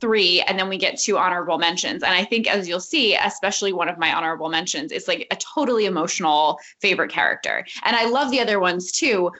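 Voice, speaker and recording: A woman co-hosting a podcast, talking in a relaxed, chatty way with lots of fillers. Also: fairly low pitch, quick pace, few pauses. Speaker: podcast co-host. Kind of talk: casual podcast chat. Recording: slightly dull lo-fi audio.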